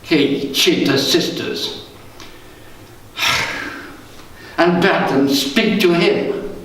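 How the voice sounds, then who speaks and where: A man performs as a guilty, scheming king in a Shakespearean tragedy on stage. His voice sits high and he declaims slowly, with bitter, brooding weight. The sound is distant, swimming in heavy room echo. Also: fairly bright tone, slight background noise. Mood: bitter, anguished. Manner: slow, declamatory, theatrical. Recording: echoey room, distant microphone.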